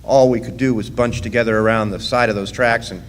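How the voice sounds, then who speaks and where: A man's voice: mid-range voice, steady tone, a little fast, natural voice. A man playing a plainspoken copper miner from 1917, recounting his story in a live radio drama. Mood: reflective, recounting. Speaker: actor narrating a radio drama. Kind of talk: first-person radio drama narration and dialogue. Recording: noisy live stage radio play recording.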